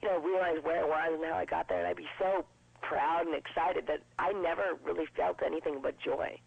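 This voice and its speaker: A woman in her twenties with a low voice, chatting upbeat as a guest in an interview. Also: fairly even intonation, gravelly, slightly rough, no room sound. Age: 20s. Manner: chatty and upbeat, quick with jokes. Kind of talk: casual interview. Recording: dull lo-fi broadcast sound.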